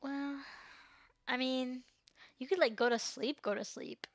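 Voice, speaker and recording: A woman's voice: sits high, unhurried, no echo. A young woman chatting casually as she streams a video game. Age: teens to young adult. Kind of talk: casual chat while gaming.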